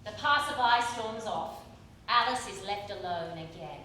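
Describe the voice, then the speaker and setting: An actress playing the narrator of a stage radio play, telling the story dramatically. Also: female voice, mid-range voice, unhurried, bright, forceful tone, slight background noise. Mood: dramatic. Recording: echoey hall, distant mic, music behind.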